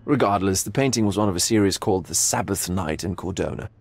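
An actor playing a cultured, philosophical gallery owner in a video game, pompous and languid, with dramatic pauses. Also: male voice, low voice, breathy edge, dry recording.

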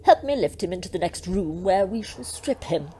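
A woman's voice: on the low side, expressive, moderate pace, mellow, slightly rough. A woman playing a gleeful, bossy, scheming devil in a comic audio drama, theatrical and gloating.

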